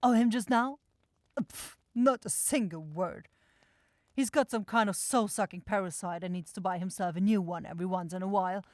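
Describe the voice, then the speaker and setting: A woman's voice: mid-range voice, moderate pace, dry recording, clean studio sound, close to an everyday voice. A woman voicing a self-assured demon saleswoman in a fantasy audio roleplay, with effusive, theatrical sales patter. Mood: effusive, confident. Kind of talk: fantasy ASMR roleplay acting.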